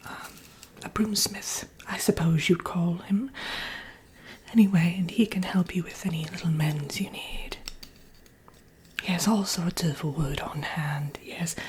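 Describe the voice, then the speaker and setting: A woman voicing a vain, sly wicked witch in a breathy, whispered ASMR roleplay, chatting coyly as if with an old friend. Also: on the low side, full character voice. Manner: theatrical, coyly boastful, doting, slow and murmuring.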